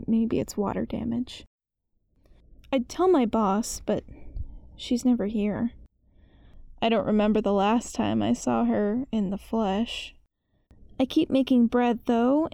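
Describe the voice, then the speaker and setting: A woman playing an anxious, uneasy baker in a horror monologue, speaking in a halting, hesitant and brooding way. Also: a little high, vocal fry, mellow, no echo.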